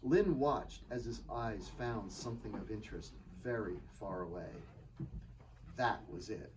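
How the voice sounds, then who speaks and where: A man's voice: on the low side, a little slow, a little mellow. A man narrating a scripted story as its author in a dramatic live reading. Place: live reading at a bookshop event. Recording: distant mic, faint room noise.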